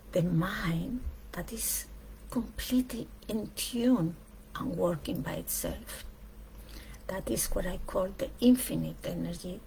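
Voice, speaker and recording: Female speaker, for a reflective spiritual talk; unhurried delivery in short weighted phrases, gravelly creak in the voice. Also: medium pitch, darkish tone, slight background noise, rough recording. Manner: deliberate, emphatic phrasing in short pieces with pauses.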